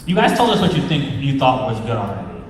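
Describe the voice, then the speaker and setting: A man's voice: mid-range voice, huge pitch swings, fast talker, slight background noise. A man hosting a casual fan panel, talking informally to the room as the host. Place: large convention panel room. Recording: echoey hall, distant from the mic.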